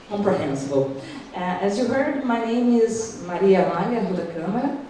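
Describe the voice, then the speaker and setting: Brazilian woman law professor, Portuguese accent; careful halting panel talk, very echoey hall with faint room noise. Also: on the low side, warm tone. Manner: careful, halting, many restarts. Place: conference hall. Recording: distant mic in echoey hall.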